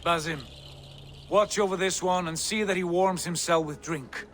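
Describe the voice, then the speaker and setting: An actor playing a proud male warrior prince who speaks in a commanding, steady way. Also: sits high, normal speed, close to an everyday voice. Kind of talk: video game cutscene acting.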